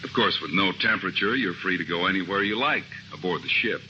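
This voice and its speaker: A brisk, genial ship's doctor with a lowish voice and a cheerful bedside manner. The old radio recording is muffled, with steady hiss underneath. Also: male voice. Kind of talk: 1950s radio drama acting.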